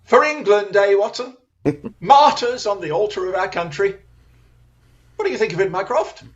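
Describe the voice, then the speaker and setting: An actor playing a brilliant, wry, self-assured Victorian detective in an audio drama, with a crisp, theatrical, commanding manner. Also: mid-range voice, expressive, moderate pace, highs cut off, natural voice. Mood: wry, pleased.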